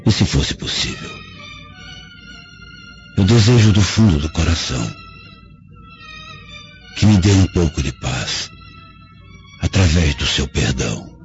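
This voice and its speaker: A man's voice: low-pitched, wildly swooping pitch, slow pace, long pauses, heavily acted. An actor with a Brazilian accent playing a proud, vengeful dying father confessing with remorse, in solemn, theatrical radio drama phrasing. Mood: remorseful, pleading. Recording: dull lo-fi radio drama, faint music behind.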